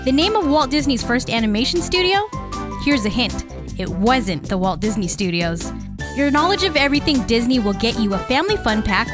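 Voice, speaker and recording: A woman announcing a scripted promotion for a contest. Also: sits high. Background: music.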